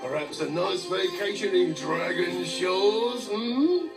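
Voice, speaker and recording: Formal, gentle yeti, very slow and lilting, game music behind. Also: male voice, sits high, expressive, gravelly, full character voice. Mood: musing. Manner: polite, musing, teasing.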